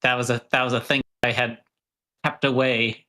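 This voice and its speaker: A man hosting a casual livestream chat, speaking haltingly and often repeating his phrases. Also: medium pitch, flat delivery, sharp tone. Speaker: livestream host. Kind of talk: casual banter.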